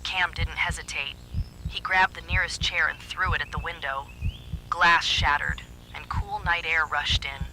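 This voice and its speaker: Very bright, tense male horror narrator. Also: fairly high pitch, steady tone, measured pace, poor audio. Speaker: first-person narrator. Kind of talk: scripted horror story narration. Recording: faint background hum and noise.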